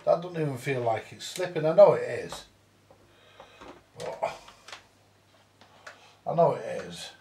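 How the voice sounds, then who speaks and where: British male repairer, casual and sweary, relaxed dark tone with some fry, recorded roomy and distant. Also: a little high, slow, pauses often, a little hiss or hum. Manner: muttering to himself, swearing mildly. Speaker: vintage audio repairer. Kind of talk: casual hands-on repair commentary. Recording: roomy echo, distant mic.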